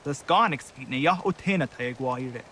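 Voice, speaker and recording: A man's voice: mid-range voice, lively intonation, moderate pace, sharp tone, a little hiss or hum. An actor playing a village man in a dramatic historical video game cutscene. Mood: serious.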